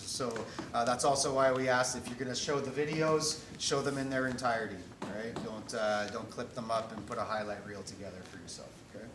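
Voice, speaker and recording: A man's voice: mid-range voice, fairly even intonation, brisk, hardly any pauses. A man in his forties giving an informal rules briefing to a group as an event organizer, easygoing and often checking in with short tag questions. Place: large echoey indoor training hall with mats. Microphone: distant camera microphone. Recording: echoey room, distant mic, loud background noise.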